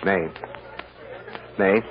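An actor playing a shrewd, calm defense lawyer with a measured, persuasive delivery in 1940s radio drama style. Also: male voice. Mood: firm, reassuring. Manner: measured and deliberate, gently coaxing or drily firm. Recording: muffled, hissy old radio recording with hall echo.

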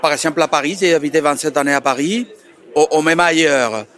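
A man's voice: a little high, brisk, a bit bright. A man from Valencia with a Valencian Spanish accent, speaking thoughtfully and hesitantly about the festival. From Valencia, Spain. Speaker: festival attendee.

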